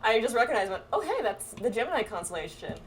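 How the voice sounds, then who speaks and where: A woman's voice: on the high side, big pitch swings, quick pace, slight background noise. A woman chatting casually through a game playthrough, reading quest text aloud and muttering asides to herself. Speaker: game streamer playing with friends. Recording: game music behind the voice. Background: game music.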